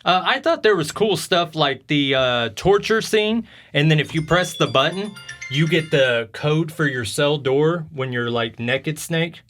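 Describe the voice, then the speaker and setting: A man's voice: on the high side, animated, a little slow, fairly bright tone, faint music behind. A man hosting a video game podcast, chatting casually in a rambling, slangy storytelling way. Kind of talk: casual chat about video games.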